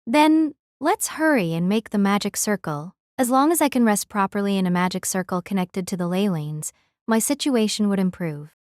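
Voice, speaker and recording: A gentle noble lady, played by a woman in an audio drama. She is polite and composed, at a middle pitch, with a very dry, close sound. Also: lively intonation, moderate pace, no room sound, natural voice. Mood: reassuring.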